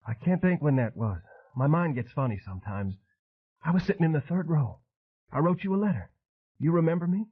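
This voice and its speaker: A fragile, fervent madwoman, put on by a male narrator, her voice pushed high and trembling. She rambles with a lively, swooping pitch. The sound is husky and thin, as if heard over a phone. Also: a little fast, phone-quality sound. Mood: excited, rambling.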